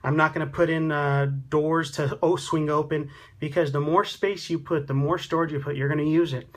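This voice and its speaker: A man giving a relaxed, chatty walkthrough of his tiny house build, talking into a handheld phone camera in a small wooden room. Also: fairly high pitch, normal speed. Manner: relaxed, chatty, pointing things out. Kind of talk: casual walkthrough vlog.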